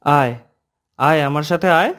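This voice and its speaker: A man voicing and narrating as a young man returning to his village in a horror cartoon, swinging between eager and hushed tones. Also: a little high, moderate pace, a little hiss or hum, close to an everyday voice. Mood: insistent. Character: young man Ajit, returning home, anxious.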